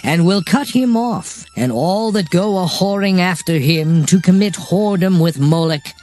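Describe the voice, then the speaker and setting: Casting: male actor as solemn lawgiver for scripture drama, very slow, highly expressive intonation, declamatory. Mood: solemn, commanding. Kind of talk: dramatized King James scripture reading. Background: music.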